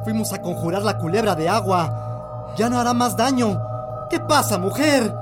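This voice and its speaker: An actor playing an anxious village man who guides a priest up a hill, speaking in hushed, urgent tones. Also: sits high, normal speed, music in the background, natural voice. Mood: pleading. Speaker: Gabriel, a villager. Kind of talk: radio drama acting.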